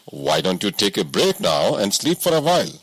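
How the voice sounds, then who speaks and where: A man voicing a calm, commanding king disguised as a farmer in an audiobook story. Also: on the low side, moderate pace, husky, no room sound, exaggerated voice. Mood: reassuring.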